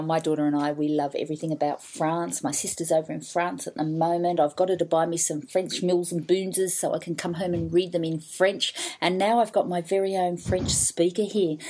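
An Australian woman hosts a podcast interview with a husky, slightly breathy voice. She talks in a chatty, enthusiastic way, with her voice sitting a little low. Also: dark tone. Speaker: podcast host. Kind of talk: casual interview chat.

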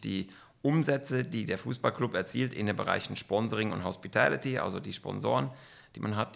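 A man's voice: lowish voice, creaky, a bit bright. A middle-aged man from Germany, a football club executive, answering podcast questions with enthusiasm and frequent fillers.

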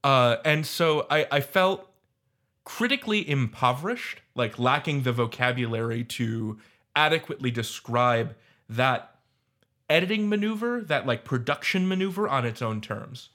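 A man's voice: medium pitch, expressive, normal speed, fairly bright tone. A man speaking in an academic panel discussion, articulate and wordy with scholarly phrasing. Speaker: roundtable panelist, audio fiction creator.

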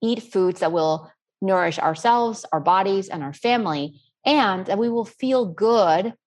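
A woman's voice: medium pitch, expressive, unhurried, a little mellow. A middle-aged woman from Spain answering interview questions in an earnest, rambling, conversational way. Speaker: interview guest, self-taught cook.

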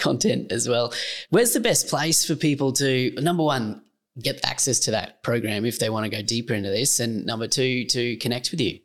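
A man's voice: medium pitch, a bit bright. A man with an Australian accent hosting a podcast, upbeat and friendly as he wraps up an interview. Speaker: podcast host. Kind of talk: interview hosting and scripted outro.

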